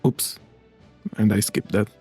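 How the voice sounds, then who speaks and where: A man giving casual commentary while playing a game, with a husky voice. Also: unhurried, warm tone. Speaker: gaming streamer. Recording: game music faintly behind.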